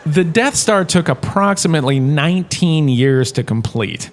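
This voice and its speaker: A man playing a jaunty, opinionated history professor in a comedic audio drama lecture, chatty and theatrical, with emphatic pauses. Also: a little high, lively intonation, slight background noise. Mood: wry lecturing. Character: washed-up actor turned jaunty, opinionated male history professor.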